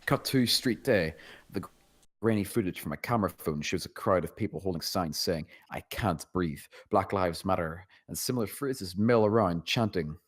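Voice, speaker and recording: A man's voice: low voice, normal speed. A man narrating scene directions from a screenplay at a table read. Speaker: narrator reading stage directions.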